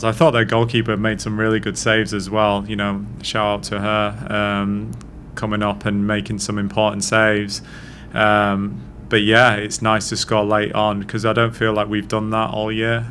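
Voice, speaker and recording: A man in his thirties, a head coach answering post-match questions at a press conference in a measured, thoughtful way, speaking into a desk mic. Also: fairly low pitch, a bit bright, a little hiss or hum. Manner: measured, thoughtful, frequent restarts. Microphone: desk mic on stand.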